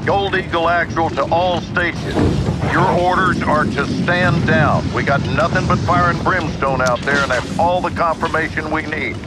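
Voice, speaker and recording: Actor as authoritative American army general. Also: male voice, fairly high pitch, steady tone, moderate pace, heavy background noise, close to an everyday voice. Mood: commanding. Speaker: military commander. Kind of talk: video game dramatic acting. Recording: loud music behind.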